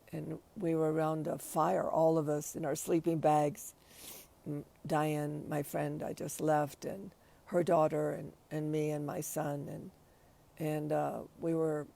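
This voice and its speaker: A middle-aged woman with a raspy voice, speaking hesitantly on her livestream with many restarts and pauses, sometimes short of breath. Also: low-pitched, mellow. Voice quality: raspy after covid. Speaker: livestream host. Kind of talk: casual spoken reflection.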